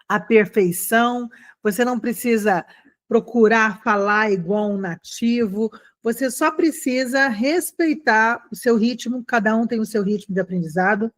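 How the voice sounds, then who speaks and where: A woman with a Brazilian accent giving a conversational online language lesson, encouraging and animated, often checking in with short questions to her students. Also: a little high. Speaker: online English teacher.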